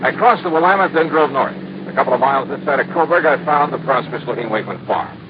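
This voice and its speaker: A man's voice: mid-range voice, expressive, fast, lightly acted. An American actor playing a wry, cool-headed male insurance investigator in 1950s radio detective style. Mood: brisk narrating. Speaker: lead actor and narrator. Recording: muffled, noisy vintage radio recording.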